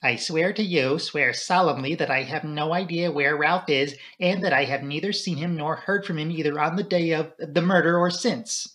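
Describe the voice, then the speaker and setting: A man voicing a proud, earnest young upper-class woman in an audiobook, speaking clearly, firmly and solemnly. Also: on the high side, moderate pace, hardly any pauses, a bit bright, lightly acted.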